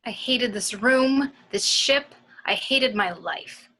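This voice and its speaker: Woman acting Gamora, bright, husky, defiant daughter. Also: fairly high pitch, slow pace, full character voice. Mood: bitter, defiant. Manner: emotional, accusatory. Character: Gamora, bitter, defiant warrior daughter of a tyrant. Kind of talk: amateur table read of a film script.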